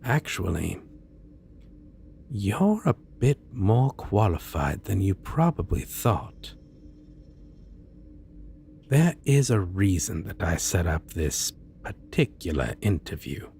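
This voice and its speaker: A man playing a suave, courteous yet menacing supervillain interviewer in a soft-spoken, deliberate ASMR roleplay. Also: deep voice, big pitch swings, vocal fry, slight background noise, full character voice. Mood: approving, businesslike. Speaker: voice actor.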